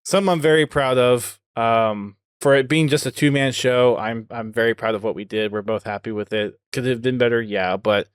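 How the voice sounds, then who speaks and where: A man's voice: medium pitch, steady tone, brisk, few pauses, clear, resonant tone, no echo. Casual male podcast host, dry close sound. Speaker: podcast host and video producer.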